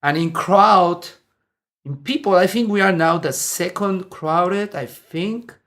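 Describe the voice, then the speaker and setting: A man's voice: sits high, slow pace, fairly bright tone. A man in his forties from Mexico, with a Mexican Spanish accent, chatting as an interview guest, laughing often and restarting his sentences. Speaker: interview guest, martial arts instructor. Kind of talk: casual interview chat. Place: indoor room, seated.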